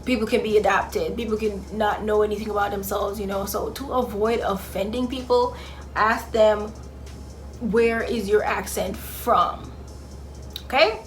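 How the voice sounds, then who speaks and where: A woman's voice: mid-range voice, fairly even intonation, unhurried, soft background music. A young woman from Jamaica with a mixed Jamaican and Bahamian accent, talking casually to camera with sassy, sarcastic asides. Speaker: vlogger.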